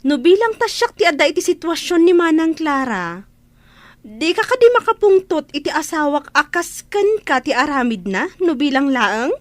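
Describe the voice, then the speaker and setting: High, dry-recorded outspoken little sister. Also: female voice, big pitch swings, normal speed, no echo. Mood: reproachful, pleading. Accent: Ilocano. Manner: pointed, reproachful. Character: principled, outspoken younger sister scolding her elder brother. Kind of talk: Ilocano radio drama acting.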